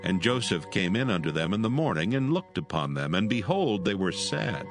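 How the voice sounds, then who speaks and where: A man narrating dramatised scripture in a solemn, measured manner. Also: deep voice, very animated, music in the background.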